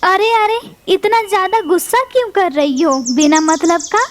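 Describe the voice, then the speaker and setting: A woman's voice: very high-pitched, lively intonation, moderate pace, a little hiss or hum. Woman playing a sneering, spiteful pigeon. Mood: mocking. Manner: taunting, sneering. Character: spiteful, mocking female pigeon.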